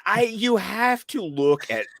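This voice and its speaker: Animated man with a lively, swinging pitch. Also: takes it slowly, dry recording, studio quality. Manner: animated, jokey, playful asides. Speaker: co-host of a watch-along stream. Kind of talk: casual commentary chat.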